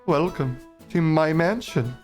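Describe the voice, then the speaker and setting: A man voicing a gracious but scheming woman who hosts guests at her mansion in an overly polite, smooth manner. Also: a little high, slow, faint music behind, pitched above the performer's own voice. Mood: sweetly scheming.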